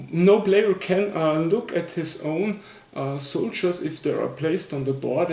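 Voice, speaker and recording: Austrian guy doing a board game review in english, slow and hesitant with lots of uhs, slightly high voice, echoey room. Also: a little mellow. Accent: Austrian German. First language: German. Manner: hesitant, many fillers and restarts. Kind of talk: casual spoken review.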